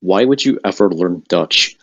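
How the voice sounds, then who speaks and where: A man with a fairly low voice on a group call, sounding dry and close to his mic. He is brash and loud-mouthed, swearing freely and teasing the others. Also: lively intonation, moderate pace, gravelly, dry recording, muffled recording. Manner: brash, profane, joking. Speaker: discord chat participant. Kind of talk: casual voice chat. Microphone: home computer mic on voice call.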